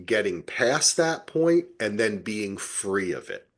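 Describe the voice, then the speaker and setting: A man podcasting in casual review chat, his pitch rising and falling in lively swings. The voice is somewhat husky, and he speaks with emphatic, opinionated conviction, swearing freely. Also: slow. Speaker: podcast co-host.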